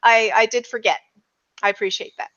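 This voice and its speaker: A woman's voice: on the high side, fairly quick, a bit bright, highs cut off. A woman chatting casually and emphatically as a panelist in a film discussion. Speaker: podcast panelist.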